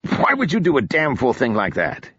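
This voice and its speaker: A man voicing a drunken, foppish male officer, hungover and carefree, in a husky voice. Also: mid-range voice, wildly swooping pitch, normal speed, fairly bright tone, no echo, dull audio, voice pushed up, exaggerated voice. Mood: dismissive.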